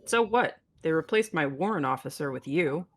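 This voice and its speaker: A woman's voice: low-pitched, expressive, normal speed. A woman playing a weary, matter-of-fact spaceship captain with a terse, resigned delivery in a sci-fi table read. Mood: resigned, defensive.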